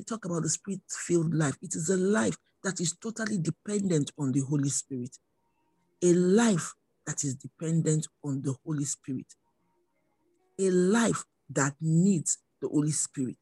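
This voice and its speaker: Husky, mellow preacher with a dry sound. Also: mid-range voice, dry recording. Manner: earnest, repeats phrases for emphasis. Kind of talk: sermon with personal testimony and exhortation.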